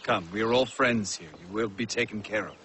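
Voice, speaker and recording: An actor playing a commanding army colonel in a video game cutscene, speaking in a measured, formal way. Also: male voice, lowish voice, heavy background noise, close to an everyday voice.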